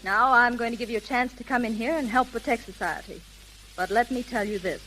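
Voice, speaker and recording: A woman's voice: a little high, a little fast, pushed and bright. An actress playing an earnest, resolute young woman who runs a detective agency, in 1930s American radio drama style. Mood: firm, commanding. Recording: sizzling, noisy old 1930s radio recording.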